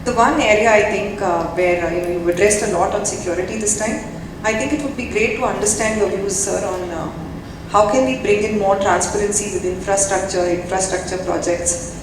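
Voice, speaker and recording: A woman speaks as a panel guest, lively and chatty with frequent fillers. She is heard from a distance. A long hall reverb and clear background noise surround her. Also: mid-range voice, fairly quick. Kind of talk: public remarks at a panel. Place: large event hall.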